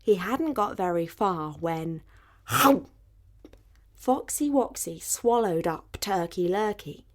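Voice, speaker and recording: A woman storyteller narrating a children's fairy tale in an expressive, rhythmic way. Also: fairly low pitch, slow.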